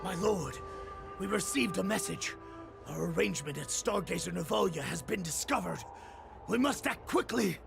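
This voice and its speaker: An actor playing an urgent, servile wolf-beast henchman in a video game, hurried, agitated and raspy. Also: sits high, lively intonation, music in the background, exaggerated voice. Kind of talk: dramatic video game acting.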